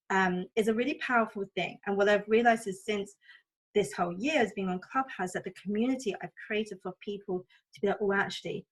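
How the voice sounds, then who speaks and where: A woman's voice: mid-range voice, low-quality recording. A woman from Thailand speaking openly and reflectively as an interview guest, in a conversational way sprinkled with "you know". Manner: open, conversational, often saying "you know". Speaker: interview guest, spiritual coach and healer. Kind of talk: candid, reflective personal interview talk.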